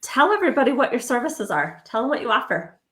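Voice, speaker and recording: A woman's voice: a little high, big pitch swings, quick pace, fairly bright tone. A woman hosting a casual spiritual podcast, chatting with a guest and explaining terms to viewers over a livestream. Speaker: podcast host. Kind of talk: casual spiritual interview chat. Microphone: livestream video call.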